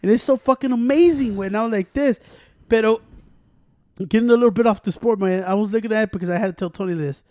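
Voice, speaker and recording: A man chatting as a podcast co-host, fast and profane, switching between Spanish and English. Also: sits high, dark tone, no echo. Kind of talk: casual chat.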